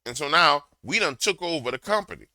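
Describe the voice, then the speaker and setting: A man with a big booming voice doing a slangy, heated reaction rant into a desk microphone. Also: expressive, brisk, no echo. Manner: loud, slangy, repetitive, heated. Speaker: commentator reacting to a clip. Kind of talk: ranting reaction commentary.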